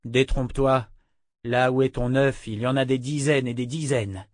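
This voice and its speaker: A man's voice: mid-range voice, fairly even intonation, moderate pace, dry recording, clean studio sound, lightly acted. A man voicing a bold, confident hero in an audiobook with a firm, defiant manner. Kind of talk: audiobook character voice.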